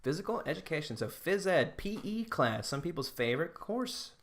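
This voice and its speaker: A man's voice: a little high, very animated, normal speed, fairly bright tone. A man co-hosting a podcast in casual banter, easygoing and self-deprecating as he talks. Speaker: podcast co-host. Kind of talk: casual banter between friends.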